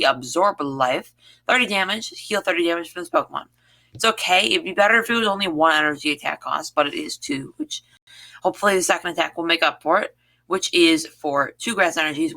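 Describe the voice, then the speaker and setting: Bright voice with a scratchy throat. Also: medium pitch. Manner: chatty, repeats words for emphasis. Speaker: trading card game YouTuber. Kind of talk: casual card set review.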